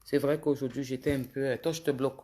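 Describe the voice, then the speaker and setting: A woman with a cold, a bit hoarse, talking casually to viewers on a phone livestream and scolding commenters with indignation. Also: rumbling low, steady tone, quick pace, mellow. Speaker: social media livestreamer.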